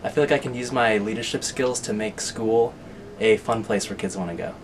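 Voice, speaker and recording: A man's voice: mid-range voice, steady tone, fairly quick, far from the mic. A teenage boy with an easygoing, plain delivery sits a little back from the mic. Some faint room noise sits underneath. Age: teens. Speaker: student candidate. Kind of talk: answering interview questions.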